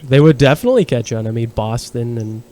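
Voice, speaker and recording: A Portugal-born man co-hosting a casual podcast, chatty and animated. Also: medium pitch, fast. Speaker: podcast co-host.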